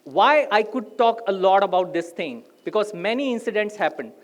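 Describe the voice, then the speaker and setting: A man with an Indian English accent delivers a live motivational talk, his voice sitting noticeably high for a man. He is a native Hindi speaker and keeps turning to the crowd with rhetorical questions. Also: lively intonation, normal speed. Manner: addresses the audience directly with rhetorical questions.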